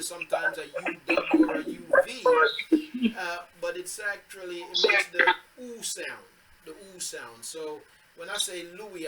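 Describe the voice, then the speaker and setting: A man's voice: sits high, fairly bright tone. A man leading an online scripture study, explaining patiently with fillers and repeated words. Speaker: teacher leading the study group. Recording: noisy online call audio.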